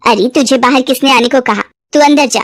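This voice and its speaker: A woman's voice: high-pitched, brisk, no room sound, clean studio sound, natural voice. A woman voicing a stepmother in a moral story, bossy and resentful, who dotes on her son. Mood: scolding. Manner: scolding, bossy.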